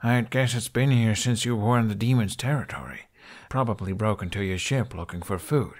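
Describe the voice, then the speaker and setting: A man voicing a gentle, calm young man who soothes animals in a soft murmur, in an audiobook. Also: fairly low pitch, fairly quick, natural voice. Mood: matter-of-fact.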